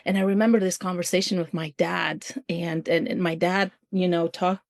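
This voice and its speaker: A woman's voice: lowish voice, fairly even intonation, normal speed, creaky, no room sound, clean studio sound. A middle-aged woman with an Albanian accent, telling personal stories conversationally as an interview guest. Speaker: podcast guest, ministry field coordinator.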